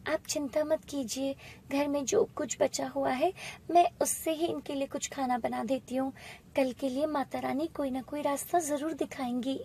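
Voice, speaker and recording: A woman voicing a kind, devout village wife and mother in an animated moral story. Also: high-pitched, moderate pace, a little hiss or hum, pitched above the performer's own voice. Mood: reassuring.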